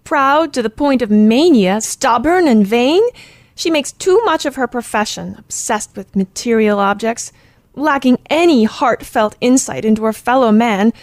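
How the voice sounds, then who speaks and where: Radio play sidekick: proper young girl, acted by a woman, fairly high, formal, earnest, closely recorded dry sound. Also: animated, a little slow, no echo. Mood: disapproving. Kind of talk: radio play acting and storytelling narration.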